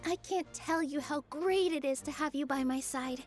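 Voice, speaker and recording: An actress playing a gentle, sincere young fairy dancer in a fantasy video game. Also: female voice, high-pitched, normal speed, faint music behind, lightly acted. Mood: grateful. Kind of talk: video game character acting.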